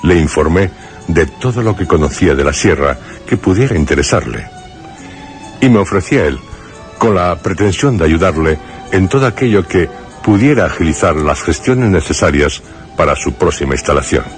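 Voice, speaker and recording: An actor playing a grave male narrator in a suspense radio drama, with a measured, literary and solemn delivery. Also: deep voice, lively intonation, soft background music. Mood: measured, reflective. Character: grave male narrator recalling a troubled friend in suspense tale.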